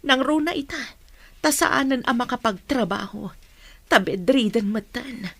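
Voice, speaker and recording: A middle-aged Ilocano mother in a radio drama, wronged and worried. Her voice is slightly husky and earnest, carrying hurt in an emotional delivery. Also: a little high, measured pace, a bit bright, dry recording. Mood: troubled, weary.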